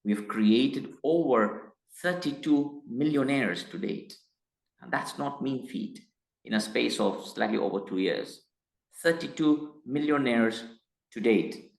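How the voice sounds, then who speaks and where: A middle-aged man from Tanzania, speaking as an interview guest in a measured, hesitant way that turns emphatic. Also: mid-range voice, gravelly. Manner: measured, hesitant with frequent uh fillers, grows emphatic. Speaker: guest, company executive. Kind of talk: interview conversation. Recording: roomy echo.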